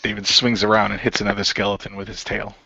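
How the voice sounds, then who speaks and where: Casting: male role-play narrator, low forceful voice, improvised action description, dull dry recording. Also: level tone, fast, creaky, husky, no echo. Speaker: player narrating his character. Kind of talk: improvised tabletop-style narration.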